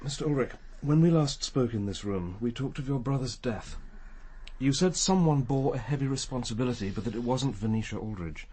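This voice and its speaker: An actor playing a courteous, perceptive senior police detective in a radio drama, speaking in a formal, restrained, probing way. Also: male voice, medium pitch, brisk, mellow, slightly rough, close to an everyday voice. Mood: polite, probing.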